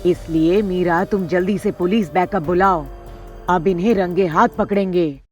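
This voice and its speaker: A woman's voice: on the low side, fairly even intonation, normal speed, muffled recording, soft background music, pitched below the performer's own voice, natural voice. A woman voicing a serious male investigator who reasons step by step in a story. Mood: decisive. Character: serious, deductive male investigator, urgent and decisive.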